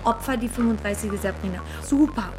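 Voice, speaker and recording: An actress playing a hot-tempered, scheming, sharp-tongued woman in a radio play, sarcastic and cutting. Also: a little high, brisk, music in the background, close to an everyday voice, poor audio.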